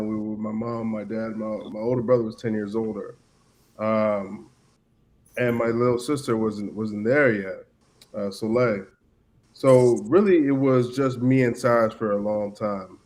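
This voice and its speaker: A man's voice: medium pitch, darkish tone. Easygoing man in his thirties. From Cameroon. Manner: easygoing, many "you know" fillers and restarts. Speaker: podcast guest, former player. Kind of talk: casual storytelling chat.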